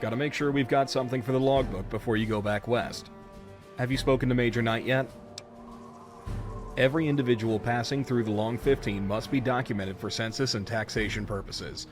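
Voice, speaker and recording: A man's voice: mid-range voice, fairly even intonation, a little fast, music in the background, close to an everyday voice. A man playing a formal, firm checkpoint guard in a video game, with a clipped procedural manner. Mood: official, firm. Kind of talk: video game dialogue acting.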